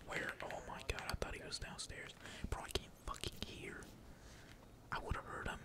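A gamer speaks entirely in a breathy whisper, giving casual ASMR commentary on their play. The talk is slangy and peppered with swearing, while computer keys clack behind it. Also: moderate pace. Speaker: gaming video creator. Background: computer keyboard typing.

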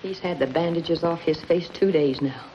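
A bitter, scheming noir dame acted by a woman with a lowish, hard-boiled voice. Her clipped, world-weary dramatic delivery comes through a dull, hissy 1950s film soundtrack. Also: moderate pace, close to an everyday voice.

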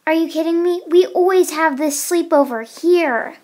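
A woman's voice: very high voice, normal speed, a little mellow. A young woman voicing casual, dramatic girls planning a sleepover in an amateur doll movie. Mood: exasperated. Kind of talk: amateur doll stop-motion voice acting.

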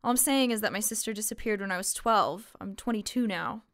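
An actress playing a calm, matter-of-fact woman of twenty-two in a fiction podcast. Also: mid-range voice, a little fast, close to an everyday voice. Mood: blunt, composed. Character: blunt, composed young woman of twenty-two, sister of missing girl. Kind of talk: conversational audio drama acting.

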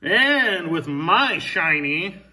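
A man's voice: sits high, sing-song, drawn-out words, sharp tone, recorded from a distance. A man in his thirties co-hosting a card-opening show, making dry, self-mocking jokes as he reveals his cards. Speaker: co-host opening card packs. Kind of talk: casual card-opening chat. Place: indoor room at a table.

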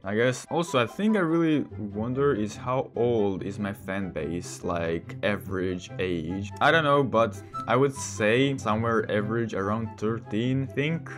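A man's voice: lowish voice, unhurried, few pauses. A sixteen-year-old male gamer who is not a native English speaker, giving casual, rambling commentary as he hosts a gameplay video. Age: 16, teenager. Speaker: gaming video host. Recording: voice under loud game music. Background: music.

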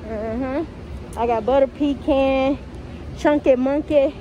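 High-voiced young American woman vlogging outdoors. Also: takes it slowly. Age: young adult. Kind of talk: casual vlog chat to viewers. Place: outdoors, walking on city streets and waterfront. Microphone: phone camera held at arm's length. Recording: dull phone audio, outdoor street noise.

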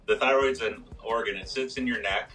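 A hoarse man in his fifties, a pharmacist explaining health topics on a video call, step by step with many fillers. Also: medium pitch, brisk, a bit bright, recorded from a distance, soft background music, poor audio. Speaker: pharmacist guest on a radio show. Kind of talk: casual health talk. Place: small room indoors.

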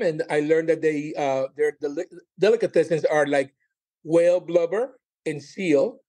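Higher-voiced man in his sixties, halting. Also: a little mellow. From Colombia and Miami. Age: 60s. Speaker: podcast guest, stand-up comedian. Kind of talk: casual chat.

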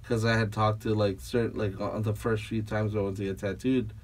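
Brief: man from Peru, rambling podcast guest, low-ish voice, a bit far from the mic in a foam-lined studio. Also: level tone, a little fast, a little hiss or hum. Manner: rambling, full of likes and fillers. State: tired after a late night. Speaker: guest tattoo artist. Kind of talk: casual podcast conversation. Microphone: studio mic on a boom arm, headphones on. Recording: voice a little off-mic.

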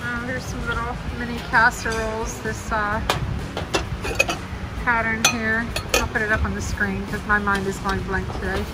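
A woman's voice: fairly high pitch, little pitch movement, moderate pace, heavy background noise. A woman giving a chatty, casual running commentary for a shopping vlog, thinking aloud as she browses. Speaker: vlog host, thrift store reseller. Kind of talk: casual shop-along vlog chat. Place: large indoor warehouse-style thrift store. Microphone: handheld phone filming the shelves.

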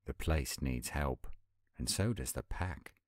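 A man acting an earnest young werewolf pack leader in a roleplay audio drama, speaking in a measured way. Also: extremely low pitch, no room sound, clean studio sound, heavily acted. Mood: earnest, hopeful.

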